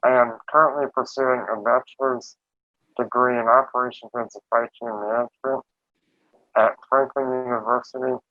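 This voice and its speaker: A man's voice: medium pitch, steady tone, normal speed, no room sound. Stammering young man on a tinny line. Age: 20s. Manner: stammering restarts. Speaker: panelist. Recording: tinny, dry phone-like line.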